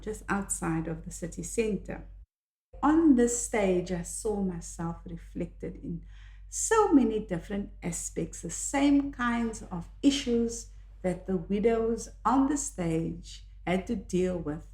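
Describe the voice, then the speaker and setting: An older woman from South Africa, a native Afrikaans speaker with a South African accent, reflecting on her own life in a measured, earnest way. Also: on the low side, darkish tone. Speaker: author and podcast host. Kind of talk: reflective personal monologue. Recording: echoey room.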